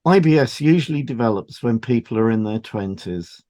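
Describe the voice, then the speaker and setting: A man with a mellow, relaxed voice and mid-range pitch reads scripted material as a podcast co-host. His delivery is measured and explanatory, suited to an educational programme.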